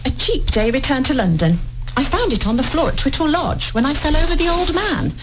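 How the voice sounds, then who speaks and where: An actress playing a prim, chiding, sharp-witted woman amateur detective in a radio play. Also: sits high, very animated, brisk. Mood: thoughtful. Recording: noisy, muffled radio play recording.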